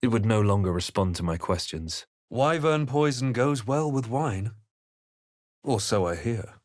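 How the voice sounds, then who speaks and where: A man playing a composed, wry fantasy adventurer for a video game, speaking in a measured, literary, theatrical way. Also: on the low side, lively intonation, dry recording, studio quality. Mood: wry, alert. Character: male fantasy adventurer hero narrating his exploits, composed, wry. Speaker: video game player-character voice actor. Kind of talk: dramatic fantasy game voice lines and narration.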